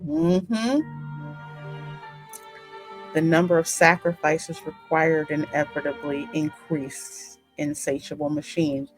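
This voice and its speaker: A woman with a slightly low voice takes her time as she gives emphatic game commentary, theorizing aloud. Faint music runs underneath her. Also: rough recording. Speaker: gaming host. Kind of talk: game reaction and story commentary.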